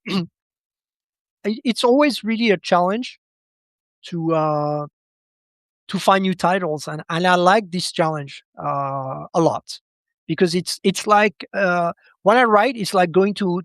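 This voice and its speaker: A man speaking off the cuff as an interview guest and analyst, halting and often repeating words as he goes. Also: high-pitched, no room sound, clean studio sound. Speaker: podcast guest, credit market analyst. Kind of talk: unscripted interview commentary.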